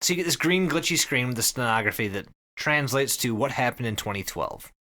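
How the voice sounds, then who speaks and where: A man hosting a podcast, telling a story casually to friends in an excited, conversational way with some swearing. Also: mid-range voice, brisk. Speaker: podcast host.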